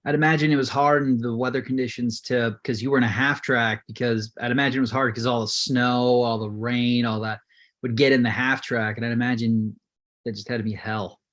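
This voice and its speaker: A man in his late twenties, speaking hesitantly with many pauses, eager about the history he has read up on. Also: medium pitch, fairly even intonation, a bit bright. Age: 20s. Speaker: call-in guest. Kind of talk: casual chat over a video call. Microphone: video call.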